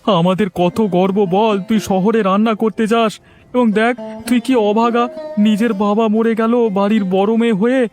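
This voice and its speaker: A man's voice: sits high, fairly even intonation, normal speed, a little mellow, faint music behind, natural voice. A man voicing a chatty village shopkeeper in a cartoon, familiar and blunt. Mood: reproachful. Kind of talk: cartoon dialogue acting.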